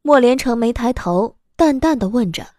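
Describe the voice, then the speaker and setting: A woman narrating a scripted audio drama in an expressive storytelling manner. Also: a little high, normal speed, no room sound, studio quality. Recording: music bed behind the voice.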